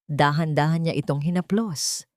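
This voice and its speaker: A woman's voice: low-pitched, moderate pace, no room sound, studio quality. A woman narrator reading a scripted dramatic story with suspenseful delivery.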